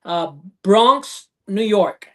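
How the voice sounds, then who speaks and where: Effusive male host, halting speech. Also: high-pitched, lively intonation. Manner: warmly effusive, halting with many fillers. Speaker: show host. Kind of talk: casual interview hosting.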